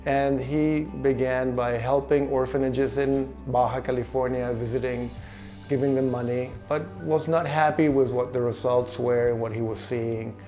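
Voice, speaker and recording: An older man from Chile who runs an orphanage, speaking in a halting, earnest way in a documentary interview. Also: mid-range voice, steady tone, mellow, soft background music. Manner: halting, earnest, searching for words. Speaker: orphanage director.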